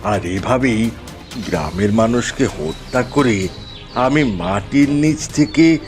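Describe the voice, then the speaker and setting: A man's voice: mid-range voice, lively intonation, slow pace, faint music behind. A man voicing a menacing, boastful tantric sorcerer in a fairy-tale cartoon, with a commanding, gloating manner. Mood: gloating, scheming.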